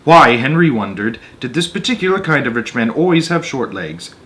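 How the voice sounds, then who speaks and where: A man's voice: medium pitch, big pitch swings, normal speed, bright, forceful tone. A man reading a children's story aloud as narrator, stepping out for casual, conversational comments on the text. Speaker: narrator and host of a story-time show.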